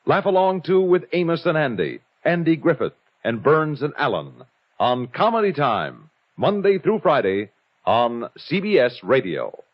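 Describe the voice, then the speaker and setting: A man's voice: a little high, very animated, unhurried. A man with an American accent reading a scripted promo as a vintage radio announcer, polished and wryly mock-serious. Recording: dull vintage radio recording.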